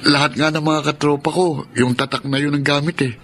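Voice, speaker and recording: An actor playing a persistent, cheeky teenage son in a Filipino radio drama, with a Filipino accent. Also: male voice, a little high, fairly even intonation, fairly quick, a bit bright, slight background noise, close to an everyday voice. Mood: wheedling.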